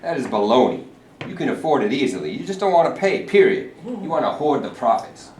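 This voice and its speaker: A man's voice: medium pitch, big pitch swings, brisk, darkish tone, close to an everyday voice. A man playing a defiant union negotiator, blunt and challenging, in a staged play reading. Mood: scornful. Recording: echoey room, faint background noise.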